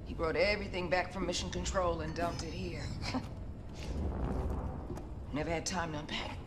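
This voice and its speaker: A woman's voice: fairly low pitch, fairly even intonation, heavy background noise, lightly acted. An actress playing a tough, blunt, foul-mouthed woman resistance fighter in a video game. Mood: dry. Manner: blunt, swearing, unhurried. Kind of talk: dramatic video game acting.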